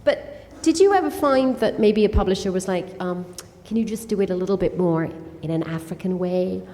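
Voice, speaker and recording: A woman's voice: mid-range voice, brisk, warm tone. A woman moderating a book talk at a festival, asking long questions thoughtfully and hesitantly with fillers. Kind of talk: festival stage conversation. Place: festival hall. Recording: long hall reverb.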